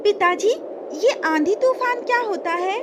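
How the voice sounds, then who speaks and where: A woman's voice: very high-pitched, unhurried, background noise, pitched above the performer's own voice. A woman voicing a curious, innocent seven-year-old princess with a childlike, pleading manner in a cartoon story. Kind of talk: cartoon moral story acting.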